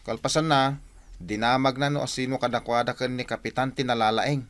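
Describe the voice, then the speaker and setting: An actor playing a slow-witted, easily flustered village watchman uncle with a Filipino accent, whining in a comedic radio drama. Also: mid-range voice, fairly even intonation, fairly bright tone, no room sound, close to an everyday voice. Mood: complaining.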